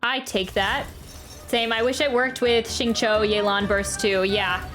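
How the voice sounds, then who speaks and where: Woman streamer w a highish voice, chatty, thinking out loud while she plays, bit of game music behind. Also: fairly even intonation, measured pace. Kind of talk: casual live gameplay commentary. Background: video game music.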